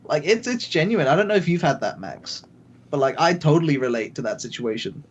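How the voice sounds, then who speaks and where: A man's voice: fairly high pitch, big pitch swings, a little fast, slightly rough, lo-fi sound. A man with a British accent, a podcast guest telling stories casually and a little hesitantly. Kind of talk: casual chat.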